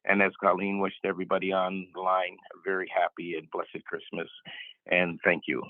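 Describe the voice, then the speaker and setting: A man with a voice raspy from a cold, giving halting welcome remarks as a health director. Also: low-pitched, monotone, no room sound. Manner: halting, many fillers. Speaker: health director and board member. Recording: tinny phone line.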